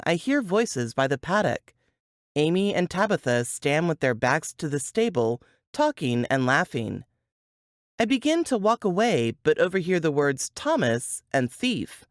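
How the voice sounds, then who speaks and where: A woman's voice: deep voice, no echo, clean studio sound. A woman reading scripted first-person fiction as an audiobook narrator.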